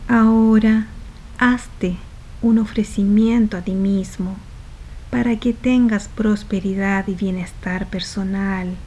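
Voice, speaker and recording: Slow, mellow woman guiding a meditation. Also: mid-range voice, fairly even intonation, a little hiss or hum, poor audio. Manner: soft, unhurried, phrase by phrase with trailing breathy endings. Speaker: meditation guide. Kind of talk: guided meditation.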